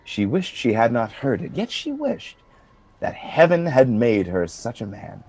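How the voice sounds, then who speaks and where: A man playing a proud, eloquent general in love in Shakespearean verse, telling his story in a measured, dramatic way. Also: mid-range voice, huge pitch swings, darkish tone, slightly rough, heavily acted. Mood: tender, reflective. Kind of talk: Shakespearean verse acting in a radio play.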